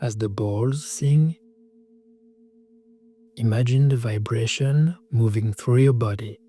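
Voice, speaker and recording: A man narrating a guided meditation in a soothing, measured way, speaking calm scripted instructions in short phrases. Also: mid-range voice, slightly rough. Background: singing bowl tones.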